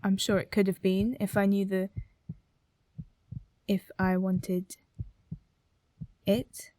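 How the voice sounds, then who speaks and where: An actress playing a hurt, nervous young woman who speaks haltingly in a confessional radio drama monologue. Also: mid-range voice, little pitch movement, warm tone, dry recording, natural voice. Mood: hesitant, reluctant. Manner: hesitant, halting.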